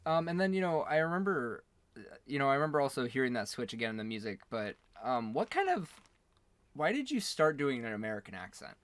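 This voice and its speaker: A man's voice: medium pitch, very animated, a little fast, a bit bright, no room sound. A man hosting a casual interview, asking questions in an informal, rambling way. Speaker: interview host.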